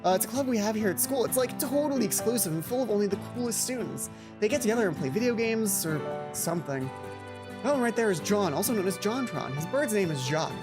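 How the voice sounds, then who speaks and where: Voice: medium pitch, expressive, fast, over music, lightly acted. A game player voicing a gossipy, boy-crazy schoolgirl. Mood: informative, gossipy. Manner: chatty, dishy, confiding.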